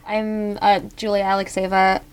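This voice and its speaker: A woman's voice: mid-range voice, monotone, moderate pace, smooth. A woman from Ukraine talks as a guest on a podcast panel with a mid-range voice. Her speech is chatty and loose, full of fillers. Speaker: professor, panelist. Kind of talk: casual panel discussion.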